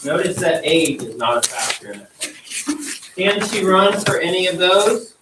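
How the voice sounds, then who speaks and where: A man's voice: sits high, moderate pace. A man teaching a class, explaining quiz instructions step by step and checking in with "okay?". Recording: roomy, distant mic, noisy classroom.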